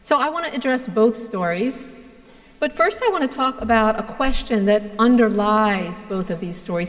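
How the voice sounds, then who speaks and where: Earnest woman priest preaching in reverberant church. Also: a little high. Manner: measured, earnest, with deliberate pauses. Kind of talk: sermon. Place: large stone church, indoors. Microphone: gooseneck pulpit mic.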